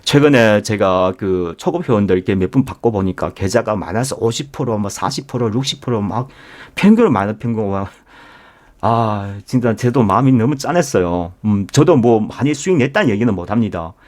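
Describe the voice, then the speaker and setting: Low-voiced man, emphatic stock instructor. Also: expressive, brisk, a little mellow. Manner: emphatic, asks listeners rhetorical questions; addresses listeners directly and repeatedly. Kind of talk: live market lecture with membership pitch.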